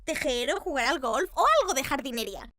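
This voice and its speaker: Grumpy young cartoon witch, sulky and curt, very high and expressive. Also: brisk. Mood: sulky, defeated. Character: grumpy young witch princess who fancies herself evil.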